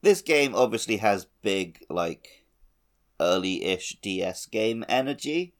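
Male podcast host, lowish pitch, informal gaming chat. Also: animated, slow.

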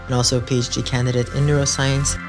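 Upbeat guy cohosting a podcast, chatty, soft music in the background. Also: medium pitch, level tone, quick pace. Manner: upbeat, chatty, frequent fillers like "you know" and "like". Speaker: podcast co-host. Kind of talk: casual podcast chat.

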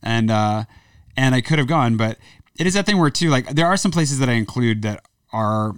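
A man's voice: mid-range voice, lively intonation, normal speed, smooth, no room sound, studio quality, distant mic. Rambling man, a little off-mic. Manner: rambling, hedging with many fillers and restarts. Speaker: podcast host. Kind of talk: casual chat. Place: indoors at a table, tasting cheese.

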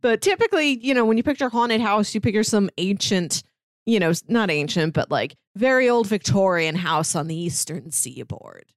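A woman speaks as a podcast co-host in a relaxed, conversational review. Her voice sits at a medium pitch and is recorded very dry, with hardly any room around it. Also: normal speed, no echo. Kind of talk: casual review discussion.

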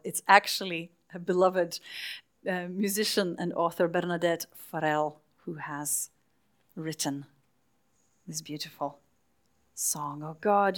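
A woman minister leading a church service, speaking softly and unhurriedly, with frequent hesitations, sometimes dropping to a near whisper. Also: fairly low pitch. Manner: soft, unhurried, hesitant with fillers. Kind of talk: leading worship and interviewing a church member.

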